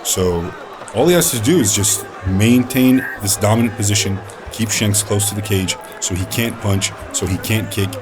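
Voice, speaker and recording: Lowish voiced color guy breaking down the fight, calm and analytical. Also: over music. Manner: analytical, measured. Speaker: color commentator.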